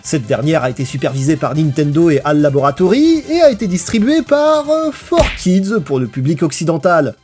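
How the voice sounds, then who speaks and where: Animated, high-pitched man narrating. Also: moderate pace, few pauses. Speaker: video essay narrator. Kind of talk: scripted video essay narration. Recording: voice-over with music behind. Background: background music.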